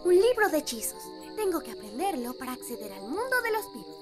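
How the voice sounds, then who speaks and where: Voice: high voice, big pitch swings, a little fast. A performer with a Latin American Spanish accent voicing a bookish, dutiful young owl demon prince in a cartoon fan dub. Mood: shy, earnest. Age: child character. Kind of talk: animated fan-dub acting. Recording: music under the voice.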